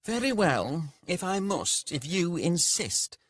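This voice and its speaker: A man's voice: high-pitched, big pitch swings, slow pace, fairly bright tone, slightly rough, dry recording, studio quality, pitched above the performer's own voice, heavily acted. A man voicing a plump, pompous merchant, cowardly and whiny, with a wavery, nasal, almost feminine voice. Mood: petulant.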